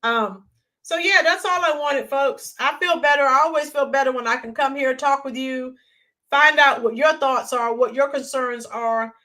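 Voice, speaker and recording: A woman hosting a live stream, chatting in a rambling way with viewers and greeting them by name, her voice hoarse and worn. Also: sits high, normal speed, bright, forceful tone. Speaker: YouTube channel host. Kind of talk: live-stream chat sign-off.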